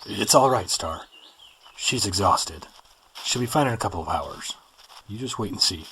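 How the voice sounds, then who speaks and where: A man's voice: on the low side, animated, normal speed, bright, forceful tone, a little hiss or hum. An actor playing a male survivor who urges his group to move on to safety, in an audio drama. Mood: reassuring. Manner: urgent, commanding.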